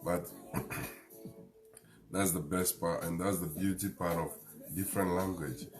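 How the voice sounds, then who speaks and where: Deep-voiced Ghanaian man hosting a live show. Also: fairly even intonation, a little slow, over music. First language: a Ghanaian language. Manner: rambling, earnest, laughs between points. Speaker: host.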